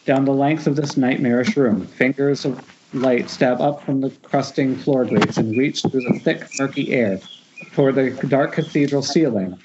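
A man reading screenplay directions aloud at an informal table read over an internet call, sometimes stumbling and restarting. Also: mid-range voice. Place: remote group Skype call. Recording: dull, noisy internet call.

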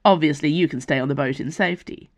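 Sullen, deadpan male guard, curt and insistent, as a woman reads him. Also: deep voice, fast talker, no echo, natural voice.

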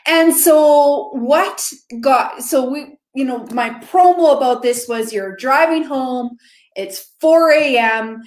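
Chatty woman host in an echoey room. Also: on the high side. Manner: chatty and enthusiastic. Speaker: podcast host. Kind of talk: casual interview chat. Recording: roomy echo.

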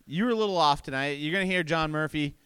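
A man hosting a podcast in casual chat, relaxed and rambling with fillers. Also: fairly high pitch, fast talker, smooth, no room sound. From Boston area. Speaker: podcast host and comedy club owner.

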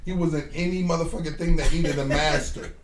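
A man's voice: a little high, steady tone, a little fast, roomy sound, noisy background. A man co-hosting a casual podcast, rambling and swearing, with stammered restarts. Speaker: podcast co-host. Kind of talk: casual group chat.

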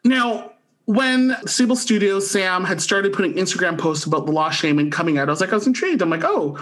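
High-pitched male voice, effusive, emphatic, casual podcast review. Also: animated, a little fast, a bit bright. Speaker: podcast co-host reviewing pop culture.

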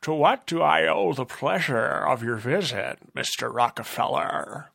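A man's voice: medium pitch, expressive, measured pace, vocal fry, a bit bright, breathy edge, no echo, full character voice. A man voicing a sly, pampered male club-owner villain who talks in a smug purr. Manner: purring, smug.

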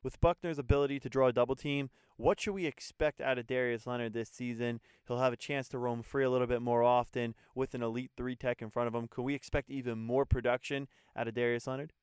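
A young podcast host from New Jersey asks his prepared questions quickly in a smooth, clear voice. The sound is close and dry, with no room around it. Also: medium pitch, steady tone, a little mellow, no room sound. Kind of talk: scripted interview questions.

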